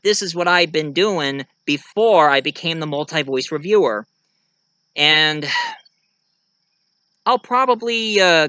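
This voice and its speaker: A man hosting his own vlog, talking casually to viewers and haltingly searching for words. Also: a little high, bright, forceful tone, smooth, no echo. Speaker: video reviewer and host of the channel. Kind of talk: casual talk to viewers about own writing.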